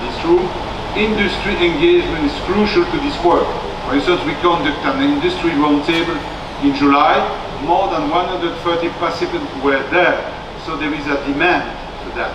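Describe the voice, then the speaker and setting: A man's voice: on the high side, noisy background. A man with a Belgian accent giving emphatic, rhetorical remarks as a financial regulator at a conference, recorded in an echoey room from a distant mic. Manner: emphatic, rhetorical, repeats filler phrases like "let us be clear". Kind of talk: public panel speech, semi-prepared remarks.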